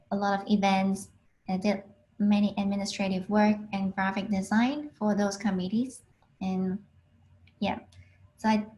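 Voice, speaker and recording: A woman's voice: medium pitch, a little mellow, a little hiss or hum, rough recording. Woman PhD student on a video call. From Hong Kong. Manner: plain, unhurried, with frequent ums. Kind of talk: online alumni talk.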